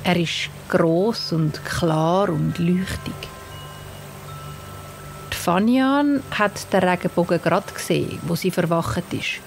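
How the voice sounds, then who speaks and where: A woman with a Swiss German accent narrating a scripted bedtime story for children in a gentle, soothing manner. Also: fairly low pitch, gravelly, low-quality recording. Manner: slow, gentle, soothing delivery. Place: studio. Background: soft music and sound design.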